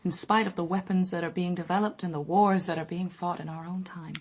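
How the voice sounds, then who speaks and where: A woman's voice: on the low side, steady tone, brisk. An actress playing an earnest, skeptical woman in a radio drama, composed and probing in a formal manner. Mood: troubled. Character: earnest, skeptical woman visitor questioning an old writer.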